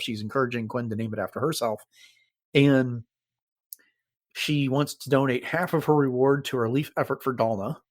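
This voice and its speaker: A man with a mid-pitched voice talks as a podcast host. He recaps and reviews in a casual, conversational style, thinking aloud as he goes.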